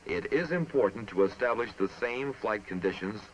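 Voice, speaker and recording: A man narrating a military training film in a formal, measured, instructive manner, reading from a script. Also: fairly low pitch, expressive. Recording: muffled, noisy old film soundtrack.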